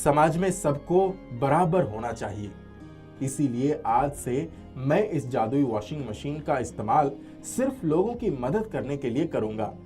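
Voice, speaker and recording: A man's voice: medium pitch, animated, measured pace, mellow, soft background music, natural voice. A man voicing a forgiving, honest, hard-working young villager in a cartoon moral story. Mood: earnest, forgiving.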